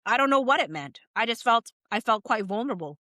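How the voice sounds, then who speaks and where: A woman's voice: a little high, animated, fast talker, bright, forceful tone, no echo, clean studio sound. A woman narrator reading a legal deposition transcript aloud, questions and answers alike.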